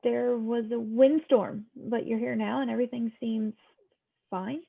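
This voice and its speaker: Woman as a creaky-voiced, guilty teenage daughter, muffled. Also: fairly even intonation, a little slow, full character voice. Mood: defensive. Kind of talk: at-home script table read. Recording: muffled low-fidelity home recording.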